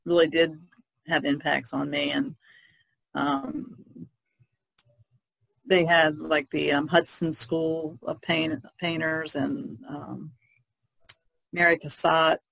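A woman's voice: sits low, little pitch movement, slow, creaky, pauses often, fairly bright tone, dry recording, poor audio. An American woman chatting casually as a panelist on a podcast.

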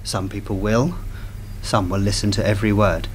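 An actor in a radio play voicing a cold, manipulative intelligence handler, sardonic and measured. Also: male voice, fairly low pitch, steady tone, slight background noise, close to an everyday voice. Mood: sardonic, calculating.

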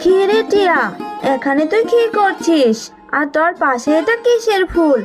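A woman's voice: very high voice, expressive, measured pace. A woman voicing a stern, suspicious mother in a cartoon, brusque and scolding. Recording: long reverb with music underneath.

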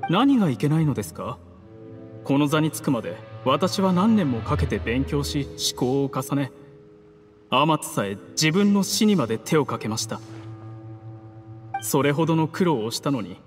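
男性の声優がゲームで演じる、礼儀正しく落ち着いた口調で脅す冷酷な策士の役人。. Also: male voice, on the high side, big pitch swings. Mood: cold, defiant. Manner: courteous, measured, menacing formal speech. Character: coolly ruthless scheming male official, polite betrayer. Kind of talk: dramatic game cutscene acting in Japanese anime manner. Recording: long reverb, music underneath.

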